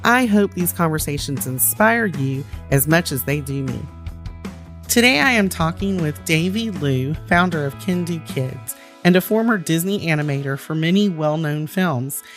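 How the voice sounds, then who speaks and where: A woman's voice: low-pitched, animated, normal speed, faint music behind. A woman hosting a podcast, reading a scripted introduction and interviewing a guest with short, attentive affirmations. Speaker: podcast host.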